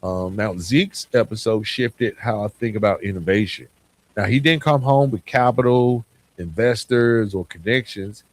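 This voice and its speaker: A man's voice: on the low side, moderate pace. A man hosting a podcast, giving a reflective monologue in a conversational, emphatic way, repeating phrases for emphasis. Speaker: podcast host.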